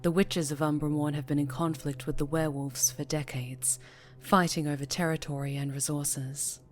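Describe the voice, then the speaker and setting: A woman storyteller giving scripted fantasy ASMR narration in a hushed, measured, dramatic way. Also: deep voice, steady tone, faint music behind.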